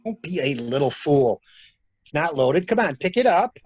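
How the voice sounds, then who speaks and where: A man plays a sharp, sardonic male traveler in a murder mystery. His voice is rough-edged and very animated in pitch as he probes and pushes like an amateur detective. The delivery is theatrical and the sound is close and dry. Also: normal speed, no room sound. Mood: goading. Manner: probing, insistent, theatrical. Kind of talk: dramatic reading of a murder mystery.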